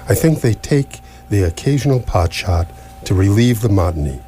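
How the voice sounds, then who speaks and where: An actor in live radio theatre playing an ancient, absent-minded alien planet-builder, stately and portentous yet mildly apologetic. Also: male voice, fairly low pitch, lively intonation, normal speed, warm tone, close to an everyday voice. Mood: grave, mild. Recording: noisy live stage recording with hum.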